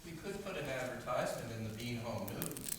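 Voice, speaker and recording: An actor playing a decisive, friendly leader of farm animals in a stage radio play. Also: male voice, mid-range voice, lively intonation, fairly quick, natural voice. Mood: purposeful. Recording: echoey hall from far off, faint noise.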